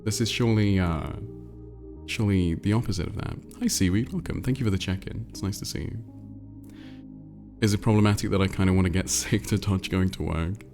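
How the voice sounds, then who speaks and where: Deep, gravelly, congested male streamer. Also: fairly quick, music in the background. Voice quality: nasal, congested. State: congested with a cold. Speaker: livestream host. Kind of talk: casual livestream chat, reading viewer messages aloud.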